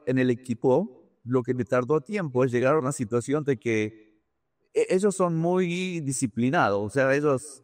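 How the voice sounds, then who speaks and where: Male executive interviewee, medium pitch. Conversational and halting, with repeated words and English business terms. Dry sound. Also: no room sound. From Argentina. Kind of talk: conversational interview answers with anecdotes.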